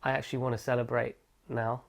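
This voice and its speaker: Reflective man with gravelly edge. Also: mid-range voice, level tone, fast. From England. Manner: thoughtful, self-correcting, reflective. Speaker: interviewee. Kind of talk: oral history interview, reflective storytelling.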